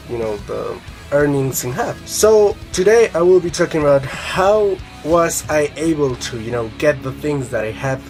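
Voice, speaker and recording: A young man from Colombia with a Colombian Spanish accent, chatting casually to camera as a guitar vlogger, rambling with many fillers and casual swearing. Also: mid-range voice.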